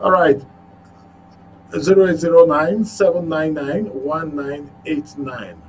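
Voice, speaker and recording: A man's voice: a little high, steady tone, slow pace. A man with a Nigerian accent hosting a live radio phone-in show. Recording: noisy studio feed with hum.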